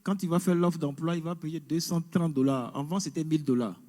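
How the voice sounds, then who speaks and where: A man presents an information session in a large, echoing hall. His voice sits a little high but sounds mellow. He explains each point step by step and drops English words into his French. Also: fairly even intonation, a little fast. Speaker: immigration presenter. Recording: echoey hall.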